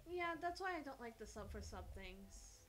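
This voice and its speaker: A woman's voice: fairly high pitch, very animated, normal speed, a bit bright, slight background noise. A woman co-hosting a livestream in casual chat. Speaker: livestream co-host.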